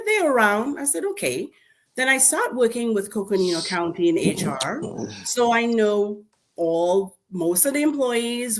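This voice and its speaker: A middle-aged woman from Trinidad and Tobago with a Trinidadian accent, speaking as a guest in a community panel discussion and telling her story emphatically. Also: medium pitch. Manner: lively storytelling, emphatic and animated. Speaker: school board member and panel guest.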